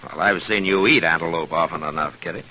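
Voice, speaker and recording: Man playing a very deep-voiced western marshal. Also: fairly quick, close to an everyday voice. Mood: relaxed, teasing. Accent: American. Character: weary, watchful frontier US marshal, dry and commanding. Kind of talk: 1950s western radio drama acting. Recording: noisy old radio broadcast recording.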